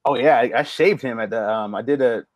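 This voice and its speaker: A middle-aged man, a barber guest, chatting casually on a video call and telling stories with lively acted-out quotes. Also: mid-range voice, fairly quick, dry recording, studio quality. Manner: animated storyteller, acts out quoted lines. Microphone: video call mic.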